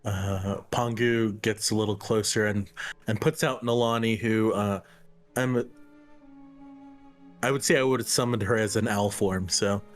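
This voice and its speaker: A player of a tabletop game, talking in a halting, hesitant way. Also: mid-range voice, steady tone, soft background music. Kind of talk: casual game talk.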